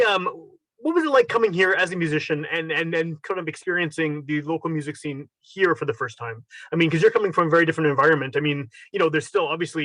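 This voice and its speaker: A man's voice: on the high side, pushed and bright, no echo, low-quality recording. A man hosting a podcast interview, asking friendly questions with easy laughs. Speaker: podcast host. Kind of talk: casual interview.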